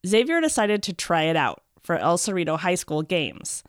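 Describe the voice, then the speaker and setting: A woman's voice: fairly low pitch, big pitch swings, moderate pace, no room sound, studio quality. A woman hosting a podcast, reading scripted narration and asking interview questions. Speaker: podcast host.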